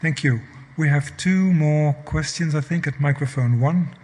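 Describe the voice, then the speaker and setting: Man, slightly higher voice, brief and courteous, moderating a session. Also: a little slow, a little mellow.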